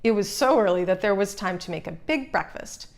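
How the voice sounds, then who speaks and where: A woman reading a children's storybook aloud as narrator, expressive and suited to young listeners. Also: mid-range voice, brisk.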